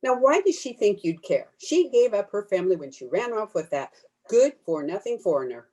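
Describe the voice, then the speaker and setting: A woman's voice: medium pitch, big pitch swings, normal speed, warm tone. A woman playing a blunt, disapproving cousin in old-time radio drama, prim and cutting. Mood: scornful. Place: at home, recorded over video call.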